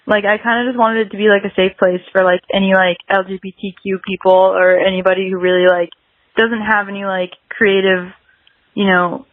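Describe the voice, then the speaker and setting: A dry, slightly dull recording of an American woman in her early twenties. She chats casually as a podcast guest at an average pitch, hedging often and peppering her sentences with "like.". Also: level tone, brisk, dry recording. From South Carolina, United States. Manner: hedging, very frequent "like" filler. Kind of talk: casual interview chat.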